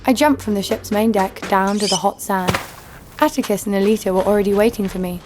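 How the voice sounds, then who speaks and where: A woman's voice: mid-range voice, fairly quick, noisy background, natural voice. An actress playing a tough, wry female adventurer who narrates her own story in a scripted audio drama. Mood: wry storytelling. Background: music.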